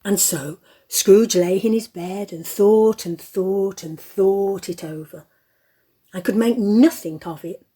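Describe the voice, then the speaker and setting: A woman narrating a story in an expressive, measured way, as the narrator of an audio play. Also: mid-range voice, breathy edge.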